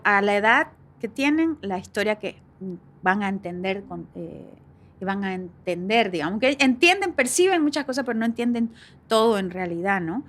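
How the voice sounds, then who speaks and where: A middle-aged woman hosting a faith-based conversational podcast, talking reflectively with many fillers. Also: medium pitch. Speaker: podcast host.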